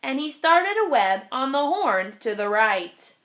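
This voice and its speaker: Slow, very lively woman reading aloud. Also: high-pitched. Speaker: storybook reader. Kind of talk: expressive children's read-aloud of rhyming verse.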